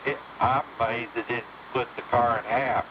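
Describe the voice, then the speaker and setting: An American man chatting casually over CB radio in a plain-spoken, halting way, often repeating himself. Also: mid-range voice, steady tone. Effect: CB single-sideband radio. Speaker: amateur CB radio operator. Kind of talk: casual local-news chat. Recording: muffled lo-fi CB radio, static.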